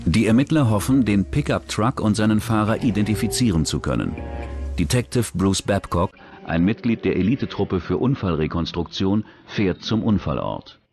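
A man with a fairly deep voice narrates a true-crime documentary. His delivery is scripted, measured and factual. Light music plays underneath. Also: lively intonation.

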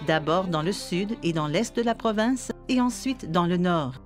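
A woman giving scripted documentary narration in a measured, even reading. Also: fairly low pitch. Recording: voice-over with clear music underneath.

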